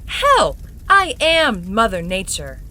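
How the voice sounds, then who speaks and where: A woman's voice: on the high side, sing-song, takes it slowly, sharp tone, exaggerated voice. A woman playing a seductive, vengeful plant goddess villain in an audio drama, declaiming in a grand theatrical way. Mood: grandiose, vengeful.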